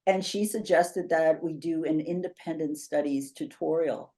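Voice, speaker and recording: Woman, 50s, low register, guest on a video call, articulate and animated. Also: normal speed. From Bahamas. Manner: articulate, animated, gestures while speaking. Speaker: guest, professor and institute founder. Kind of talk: conversational interview answers. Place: home office, indoors. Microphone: webcam on a video call.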